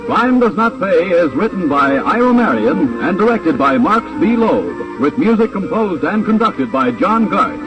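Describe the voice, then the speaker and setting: A man's voice: high voice, sing-song, measured pace, few pauses. A man reading scripted 1950s radio announcements in a formal, declamatory manner. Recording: distant old radio recording under music.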